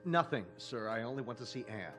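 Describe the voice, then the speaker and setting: A male actor plays a rejected young suitor in a radio drama. His pitch swings widely as he presses his case with desperate intensity. Music runs beneath. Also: lively intonation, fairly quick, fairly bright tone, natural voice. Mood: insistent. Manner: intense, insistent.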